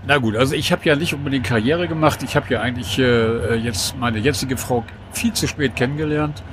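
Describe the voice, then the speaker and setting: A man's voice: mid-range voice, a little fast, noisy background. A man in his sixties, a guest, talking candidly and thoughtfully about late fatherhood in casual conversation on a train. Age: 60s. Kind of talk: casual chat.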